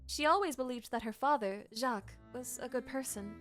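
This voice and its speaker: Excitable high-voiced woman streamer. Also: brisk, soft background music. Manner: excitable, giggly, chatty. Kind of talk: game reaction commentary.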